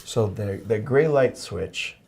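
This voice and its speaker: Low-voiced man explaining an eye exam. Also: lively intonation, takes it slowly, creaky, darkish tone, husky. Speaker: clinician demonstrating an eye exam. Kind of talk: instructional demonstration, semi-casual.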